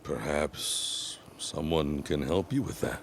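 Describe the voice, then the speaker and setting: An actor playing a suave, ambitious gentleman suitor of 1916 in a period audio drama, with courtly and grandiose formal speech. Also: a bass voice, slow pace, heavily acted. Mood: ardent. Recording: clear background ambience noise.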